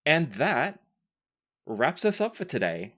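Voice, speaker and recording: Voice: medium pitch, big pitch swings, slow, a bit bright. A podcast host and game master chatting casually, earnest and appreciative in manner. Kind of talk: casual podcast chat and sign-off. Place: remote group recording over a call.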